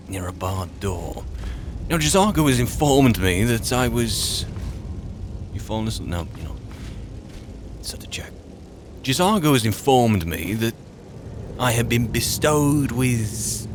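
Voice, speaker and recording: A man roleplaying a musing, wry adventurer in a fantasy game, speaking in a halting, dry, reflective way. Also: mid-range voice, expressive, noisy background. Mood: explaining, dry. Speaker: player narrating a roleplay. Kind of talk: in-character game roleplay monologue.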